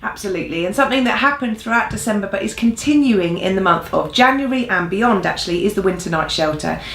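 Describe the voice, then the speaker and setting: A woman's voice: medium pitch, animated, fast, creaky, a bit bright. A woman co-hosting an informal church news update in an earnest, encouraging way. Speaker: church newsreel co-host. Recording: echoey room sound.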